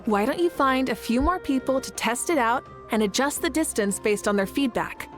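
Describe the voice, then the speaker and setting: A woman's voice: high-pitched, lively intonation, normal speed, faint music behind. An actress playing a confident, fiery female warrior leader in a video game, speaking in a composed, self-assured way. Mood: thoughtful.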